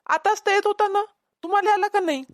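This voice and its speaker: A woman plays a suspicious, self-assured rescue worker in an amateur cartoon. The voice is high, with a Vidarbha Marathi accent and an instructing, questioning delivery. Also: fast talker. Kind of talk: amateur cartoon comedy voice acting.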